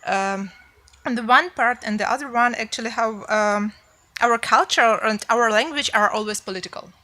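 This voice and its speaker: A translator speaking English on a panel, thoughtful and halting with many fillers. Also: female voice, on the high side, pushed and bright. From Ukraine. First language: Ukrainian. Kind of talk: panel discussion.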